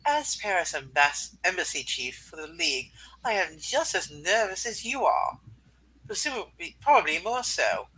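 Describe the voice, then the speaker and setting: Man as high-pitched, helpful female embassy chief. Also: sing-song, normal speed, sharp tone, breathy edge, full character voice, low-quality recording. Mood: reassuring. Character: reassuring, polite female embassy chief, eager to help. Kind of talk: amateur comic book dramatic reading.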